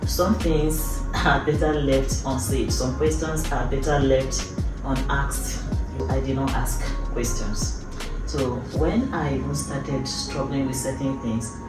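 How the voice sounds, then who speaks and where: Fifties lady vlogging, earnest and emphatic, mic far off, loud music behind her. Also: very deep voice, fairly even intonation, unhurried. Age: early 50s. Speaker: YouTube host. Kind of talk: personal vlog talk to camera. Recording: echoey room, distant mic, loud music.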